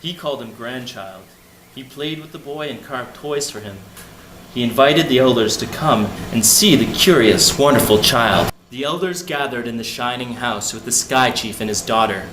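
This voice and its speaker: A man's voice: mid-range voice, normal speed. Echoey male storyteller telling a folk tale. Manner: simple short phrases with questions to listeners. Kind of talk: scripted narration of a folk tale for children. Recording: echoey room, faint background noise.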